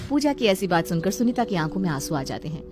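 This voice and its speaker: A woman's voice: fairly low pitch, big pitch swings, quick pace, over music. A woman storyteller reading a scripted moral tale as narrator.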